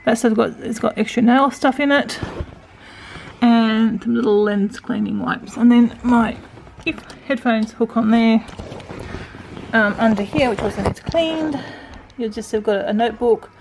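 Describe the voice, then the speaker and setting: An Australian woman in her forties with a croaky voice, talking casually and wearily through her day at home in a vlog. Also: on the high side, unhurried, a little mellow, a little hiss or hum, low-quality recording. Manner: tired, rambling, self-talking. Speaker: vlogger. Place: indoors at home.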